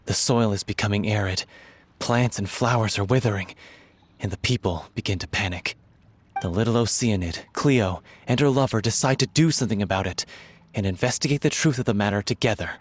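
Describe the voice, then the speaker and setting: A man's voice: on the low side, brisk, a little hiss or hum, close to an everyday voice. A man voicing an enthusiastic theatre-troupe scriptwriter who recounts his story at length. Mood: narrating. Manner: storytelling, excitable. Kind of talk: game voice acting.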